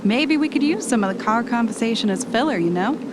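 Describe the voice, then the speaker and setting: A woman's voice: animated, a little fast, natural voice. A woman playing an eager, bossy travel podcaster in an amateur audio drama, chatty and ready with an announcer's polish. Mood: upbeat, persuasive. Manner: chatty, switches into polished radio voice. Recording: noisy, vehicle rumble behind the voice.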